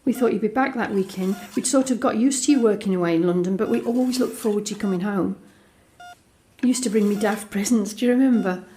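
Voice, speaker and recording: A woman's voice: medium pitch, quick pace, dark tone, a little hiss or hum, lightly acted. An actress playing a guarded, tender young woman, telling her story conversationally in a first-person audio drama monologue. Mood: tender, wistful. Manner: confiding, conversational storytelling that re-enacts dialogue. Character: Alex, young woman, guarded, frank, tender daughter confiding a secret.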